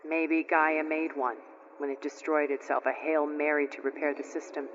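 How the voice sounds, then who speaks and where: Male actor as earnest underling, reasoning tone, fairly high voice, muffled reverberant sound, game scene. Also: flat delivery, normal speed, a bit bright, close to an everyday voice. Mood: speculating. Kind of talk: cinematic video game acting. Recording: muffled, reverberant, faint noise.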